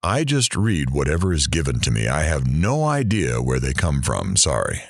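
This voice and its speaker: A man's voice: a bass voice, huge pitch swings, moderate pace, vocal fry, dry recording, exaggerated voice. A man playing a self-deprecating, dry-witted morning radio host presenting mock news in an audio drama. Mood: wry, friendly.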